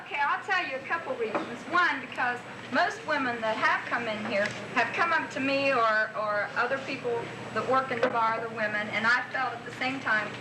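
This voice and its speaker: A young woman arguing forcefully and insistently at a heated meeting, talking loud enough to be heard. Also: on the high side, moderate pace, hardly any pauses. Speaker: bar worker proposing a women's night. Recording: echoey room, far from mic, noisy old tape.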